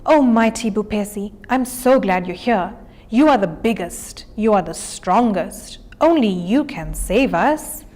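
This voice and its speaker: A woman's voice: mid-range voice, animated, a little slow, darkish tone, natural voice. A woman voicing a small, clever, boastful male jackal trickster in a children's storybook, with theatrical exclamations. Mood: flattering.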